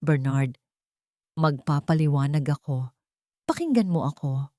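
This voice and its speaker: A woman's voice: low voice, animated, a little slow, warm tone, no room sound, clean studio sound, pitched below the performer's own voice. A woman with a Filipino accent voicing a desperate, guilty younger sister in an audiobook drama, emotive and pleading.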